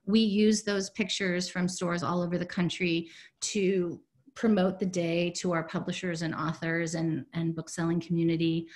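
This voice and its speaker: A woman's voice: fairly low pitch, little pitch movement, moderate pace, darkish tone. Chatty woman on a video call. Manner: chatty, filler-heavy, upbeat. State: hoarse, losing her voice. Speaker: program director presenting a webinar. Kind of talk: casual webinar presentation. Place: home room, indoors. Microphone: video call, laptop mic.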